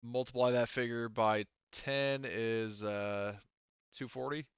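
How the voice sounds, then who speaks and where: A man's voice: medium pitch, fairly even intonation, takes it slowly, clear, resonant tone, dry recording. A man acting as game master, casually refereeing a tabletop game and thinking aloud in a hesitant way. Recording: band-limited, dull dry audio.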